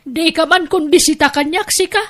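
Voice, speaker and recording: A very high-pitched, piercing matriarch acted by a woman in a radio-style melodrama. She is harsh and self-pitying, nagging in sharp, exclamatory complaints. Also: moderate pace. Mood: bitter complaining. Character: ill-tempered, scolding wife and grandmother, self-pitying and harsh. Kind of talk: melodramatic Ilocano audio drama acting.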